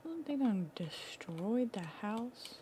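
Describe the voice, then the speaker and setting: A woman's voice: fairly high pitch, lively intonation, slow pace, mellow, slight background noise. A woman giving casual, joking, folksy commentary as she plays through a game. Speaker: game streamer.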